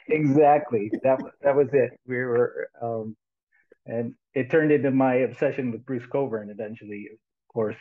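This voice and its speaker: A man's voice: medium pitch, normal speed. A man in his sixties, a guest musician talking casually on a video call through headphones, rambling, with many restarts and frequent laughs. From United States. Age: 60s. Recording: tinny phone-like line.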